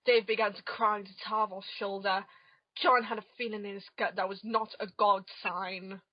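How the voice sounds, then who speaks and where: A woman's voice: mid-range voice, steady tone, normal speed, dry recording. A woman narrating an amateur dramatic reading of comic fan fiction, on a muffled home recording.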